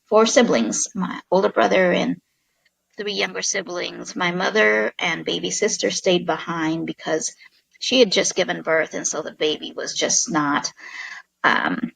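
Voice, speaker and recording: Gravelly fifties woman, talks real slow and careful, lots of uhs, dry sound. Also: mid-range voice, no room sound, poor audio. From South Vietnam. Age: 50s. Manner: slow, measured telling with many fillers. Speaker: guest, social worker. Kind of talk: interview storytelling.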